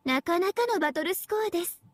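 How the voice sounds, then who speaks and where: A woman's voice: extremely high pitch, expressive, fast talker, dry recording. An actress playing a smug, teasing young AI devil girl in an anime game, with a sweet girlish voice and a playful, mock-polite, condescending manner. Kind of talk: Japanese anime game voice acting.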